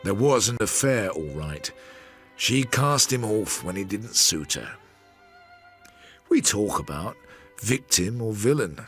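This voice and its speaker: Male narrator for radio drama: reflective Victorian investigator, low register with wide inflection, understated delivery. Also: animated, slow pace, fairly bright tone, soft background music. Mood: measured, wry. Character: measured Victorian investigator recounting a failed case.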